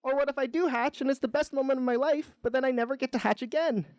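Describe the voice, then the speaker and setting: A worried storybook egg, put on by a man reading aloud, pitched high. It frets and complains in frantic questions, sounding whiny and deeply unsure of itself. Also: fairly even intonation, normal speed, dry recording, clean studio sound. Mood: anxious, fretting. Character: anxious unhatched egg, fretting and whiny.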